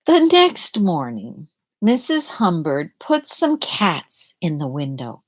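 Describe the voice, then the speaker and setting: A woman reading a picture book aloud to young children, with dramatic, deliberate phrasing and questions to the listeners. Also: lowish voice, huge pitch swings.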